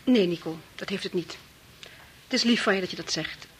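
A woman's voice: lowish voice, close to an everyday voice. Actress as earnest, composed mother, faint hiss. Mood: earnest, pained. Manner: earnest, measured, shuns self-pity. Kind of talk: Dutch radio play acting. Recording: faintly noisy old radio play recording.

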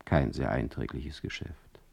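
An actor playing a witty, sardonic émigré poet who reads out his letter in a radio play with theatrical, deliberate irony. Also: extremely low pitch, gravelly, darkish tone, breathy edge, exaggerated voice. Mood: sardonic, amused.

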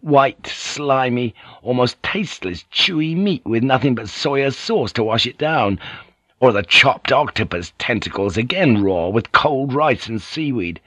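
A man's voice: medium pitch, lively intonation, a little slow, fairly bright tone, dry recording, voice pushed up. A man voicing a blunt, opinionated, irritable European man of the sea in an audiobook. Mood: irritated, scornful. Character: blunt, irritable European seafarer living in Japan.

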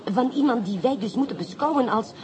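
An actress playing an intuitive, self-assured inspector's wife in a radio play, theatrical, insistent and playful. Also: female voice, on the high side, animated, a little fast, darkish tone, natural voice. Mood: intrigued.

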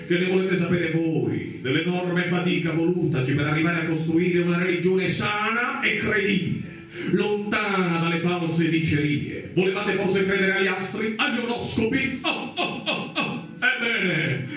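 A greedy, sanctimonious priest in satirical radio theatre. His voice is fairly high for a man, pompous and preachy, sliding into chant and exclamation. He rings out from some distance in a very reverberant space, with faint music behind. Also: unhurried, fairly bright tone. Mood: indignant, scornful.

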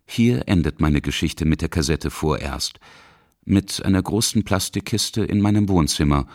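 A man's voice: deep voice, normal speed, darkish tone, no echo, studio quality. A middle-aged man from Bavaria reading scripted narration for a radio documentary. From Bavaria, Germany. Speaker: radio feature author and reporter.

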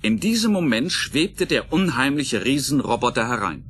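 A man's voice: medium pitch, big pitch swings, moderate pace, a bit bright, slight background noise. A man narrating a science fiction radio play as scripted, dramatic storytelling. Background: music under the voice.

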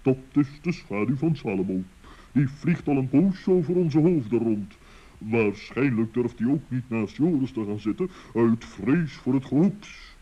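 A man playing a pompous, muddled old owl in a children's radio play, husky and hesitant, with long-winded phrasing. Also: mid-range voice, lively intonation, a little slow. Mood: ponderous, pondering. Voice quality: husky, dark. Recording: dull old radio recording.

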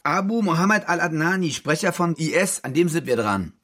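A man's voice: a little high, expressive, moderate pace, a bit bright. An actor playing a cynical, foul-mouthed war reporter in a modern radio drama, switching between German and English. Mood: urgent, hushed. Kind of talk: modern radio drama, natural dialogue and narration.

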